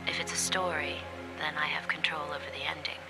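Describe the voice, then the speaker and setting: A woman's voice: low voice, level tone, fairly quick. An actress playing a dazed, desperate captive mother in an audio drama, insistent and pleading. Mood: hushed, reflective. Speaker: actor. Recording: loud music under the voice.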